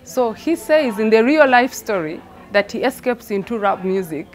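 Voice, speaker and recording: A woman's voice: a little high, animated, moderate pace. A woman from Rwanda, a theatre director explaining her work reflectively, repeating phrases for emphasis.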